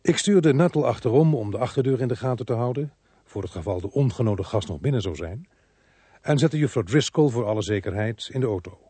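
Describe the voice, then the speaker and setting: Police inspector, radio drama: husky, fast, businesslike, fairly low-voiced. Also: male voice, animated, warm tone, no echo. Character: brisk, matter-of-fact male police inspector telling his case. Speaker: actor in a radio play. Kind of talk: radio drama dialogue and narration.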